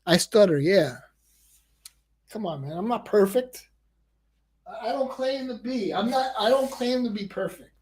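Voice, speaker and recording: A man's voice: high voice, animated, unhurried, pauses often, a little mellow, slightly rough. A man hosting a casual livestream, rambling and repeating words, and he says he stutters. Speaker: livestream host.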